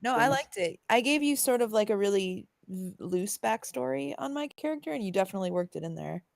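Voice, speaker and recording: A woman's voice: mid-range voice, lively intonation, a little fast, gravelly, no echo, clean studio sound. A woman chatting casually as a player in a tabletop game. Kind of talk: casual chat.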